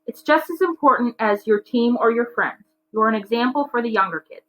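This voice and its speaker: A woman's voice: a little high, animated, brisk, a bit bright, slight background noise, voice pushed up, low-quality recording. A woman voicing an exasperated, strict mother in a children's storybook, grumbling and scolding.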